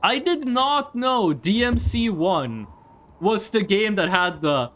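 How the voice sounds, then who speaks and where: Male game streamer, native Norwegian speaker. High register, slow delivery, rambling off-the-cuff chat with casual swearing. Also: lively intonation, a bit bright, a little hiss or hum. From Norway. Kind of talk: casual livestream chat over gameplay.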